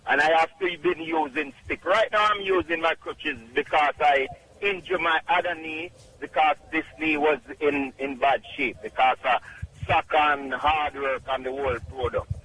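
A middle-aged man from Jamaica with a Jamaican accent, calling in to a radio show and speaking in an emphatic, halting, repetitive way. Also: on the high side, sharp tone, slight background noise, poor audio. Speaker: caller. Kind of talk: radio call-in testimonial.

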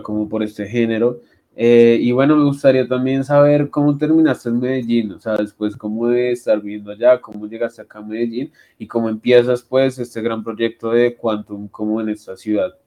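A male radio host leads the interview in a loose, casual way, leaning on fillers as he talks. His voice is dark, relaxed and mellow at a middle pitch. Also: moderate pace. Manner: casual, many fillers like "como" and "pues". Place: radio studio.

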